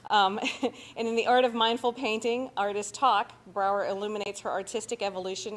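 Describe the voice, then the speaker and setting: A woman's voice: mid-range voice, a little hiss or hum. A woman hosting an arts center event, reading a speaker's introduction from notes and paraphrasing with hesitations. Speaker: host. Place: arts center event, live audience and stream.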